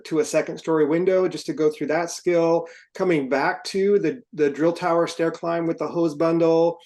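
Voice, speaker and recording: A man's voice: on the high side, darkish tone. A man co-hosting an instructional podcast, explaining methodically and step by step in an informal way. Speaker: podcast co-host, firefighter and trainer.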